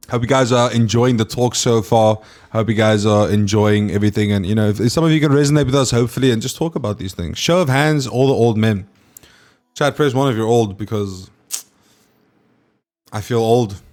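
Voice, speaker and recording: A man's voice: on the low side, darkish tone. A man, hosting a casual stream chat and talking to his viewers, loose and full of swearing. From South Africa. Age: 20s. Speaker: streamer host.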